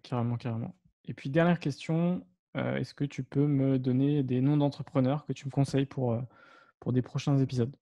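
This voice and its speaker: A man hosting a podcast, relaxed and agreeable in casual conversation with his guest. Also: mid-range voice, fairly quick, lo-fi sound. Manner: relaxed, agreeable, brief interjections. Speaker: podcast host. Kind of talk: casual interview talk.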